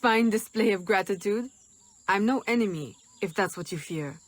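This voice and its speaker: A woman's voice: mid-range voice, lively intonation, moderate pace, natural voice. An actress playing a determined, cool-headed woman assassin in a video game, composed and formal. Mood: resolute. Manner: composed, terse, formal diction.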